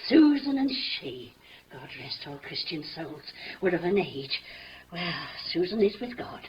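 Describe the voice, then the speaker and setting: An actress playing a chatty, rambling, bawdy old nurse in a 1950s radio production of Shakespeare. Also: female voice, fairly low pitch, sing-song, measured pace. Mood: rambling, reminiscing. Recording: muffled old noisy radio recording.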